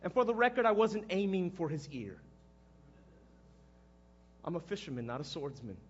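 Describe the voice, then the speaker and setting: Guy acting as a guilt-ridden fisherman disciple, husky and high-pitched, swings all over, halting stage monologue, kinda dull recording. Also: sing-song, slight background noise. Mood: wry, agitated. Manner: halting, conversational, erupting into shouts. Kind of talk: dramatic first-person monologue.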